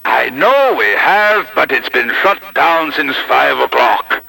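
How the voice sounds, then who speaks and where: A man voicing an older, impatient RAF duty officer on the telephone, gruff, curt and clipped. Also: medium pitch, expressive, takes it slowly, pushed and bright, voice pushed up, exaggerated voice, poor audio. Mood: brusque.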